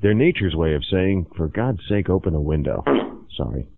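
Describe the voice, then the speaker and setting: A man's voice: sits low, lively intonation, moderate pace, vocal fry, over loud music. A man playing an apologetic, formal male presenter with a pompous, easily flustered manner in a mock commercial. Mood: solemn, embarrassed. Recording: muffled lo-fi band-limited audio, faint noise.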